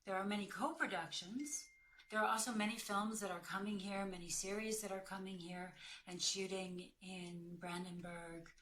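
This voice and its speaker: A woman with a bright, forceful voice of average pitch talks as an acting coach in a question-and-answer conversation. She is direct and emphatic, laying out her advice plainly. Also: steady tone, moderate pace, a little hiss or hum. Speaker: acting career coach and working actor.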